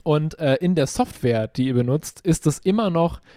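A man's voice: a little high, lively intonation, normal speed, dark tone, no room sound, clean studio sound. A man speaking as a podcast guest and sound designer in casual chat, with a jokey, understated irony.

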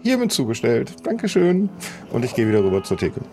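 A man playing a gruff but helpful pirate in an improvised audio play, talking in a hesitant, conversational way. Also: mid-range voice, wildly swooping pitch, a little fast, music in the background. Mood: brusque.

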